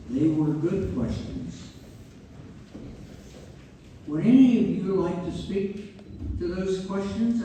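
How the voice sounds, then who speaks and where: A man's voice: on the high side, dark tone. A man playing a genial stage narrator, addressing townspeople in a scripted read-through. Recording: reverberant hall from far off, faint noise.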